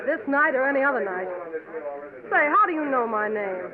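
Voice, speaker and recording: Brassy down-on-her-luck gal, super high voice, old radio play, crowd noise behind. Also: expressive, normal speed, narrow, tinny audio. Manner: slangy, tough-talking. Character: brash, hard-luck blonde gambling woman, jilted. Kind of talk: vintage radio drama acting.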